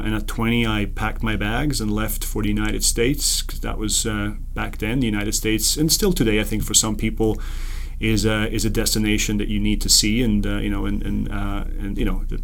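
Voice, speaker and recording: A man's voice: on the low side, little pitch movement, moderate pace, gravelly, a bit bright. A man in his thirties from Switzerland whose first language is Serbo-Croatian, speaking as a podcast guest with many ums and restarts. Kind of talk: conversational interview.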